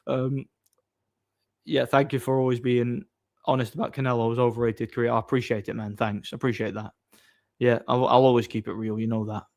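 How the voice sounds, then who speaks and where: A man's voice: mid-range voice, darkish tone. A man, chatting casually on a livestream, reading out viewer messages and answering with mocking boasts. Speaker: live streamer reading chat.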